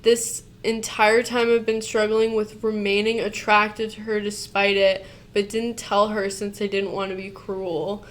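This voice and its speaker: A woman reads a post aloud at an ordinary middle pitch, in an everyday conversational voice. She keeps cutting in with blunt, casually profane reactions, and she trips over words and restarts as she reads. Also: little pitch movement, measured pace, few pauses. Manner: blunt, swears casually, interrupts the reading with reactions; stumbles and restarts while reading aloud. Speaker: co-host reacting to a Reddit post. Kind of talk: reading a post aloud with commentary.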